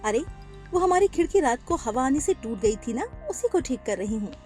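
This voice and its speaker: A gentle cartoon mother sparrow, caring and calm, with a high, lively voice, as a woman plays her. She talks softly and reassuringly over light music. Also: normal speed. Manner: soft, reassuring.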